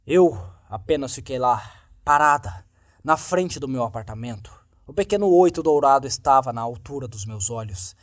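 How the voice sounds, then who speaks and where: A man's voice: mid-range voice, lively intonation, normal speed, no echo, clean studio sound. A Brazilian man reads a horror story in a dramatic, suspenseful scripted narration style. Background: music.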